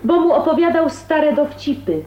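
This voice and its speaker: High timid young woman, polite, quietly stubborn, radio drama, muffled, clearly noisy. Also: expressive, normal speed. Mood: polite, dry. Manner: polite, timid, dryly resistant. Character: meek but quietly defiant unmarried daughter, twenty-nine. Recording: dull, noisy, roomy radio play recording.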